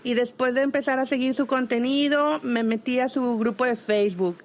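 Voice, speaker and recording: A woman hosts a podcast with a slightly high voice. She introduces her guest with open admiration, speaking in a halting way and often stopping to restart her phrases. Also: fairly even intonation. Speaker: podcast host.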